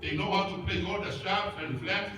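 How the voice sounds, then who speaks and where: A man's voice: high-pitched, steady tone, moderate pace, sharp tone, slight background noise. A pastor from Samoa preaching a sermon in English, his second language, with a Samoan accent. He speaks in simple, emphatic, repeated phrases. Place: church. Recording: echoey church hall, voice from far off.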